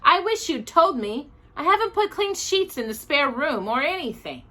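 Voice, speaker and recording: A woman voicing a stern, fussy but kindly housekeeper in a storybook, brisk and exclamatory. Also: high-pitched, very animated, sharp tone, voice pushed up, exaggerated voice. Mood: dismayed.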